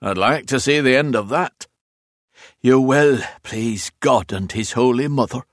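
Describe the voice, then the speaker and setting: A man's voice: mid-range voice, unhurried, no echo, voice pushed up, natural voice. A big Irish road labourer, as a male narrator plays him, grumbles with caustic relish. The voice is lifted and lilting, emphatic and garrulous, a storybook character full of grievance, captured in a dry, close sound. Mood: gleeful. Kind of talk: audiobook character voice.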